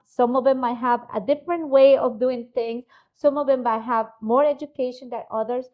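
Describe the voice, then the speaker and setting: A woman's voice: fairly high pitch, lo-fi sound. A woman hosting an interview podcast, upbeat and chatty, who drops in Spanish words. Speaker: podcast host. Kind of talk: casual interview chat.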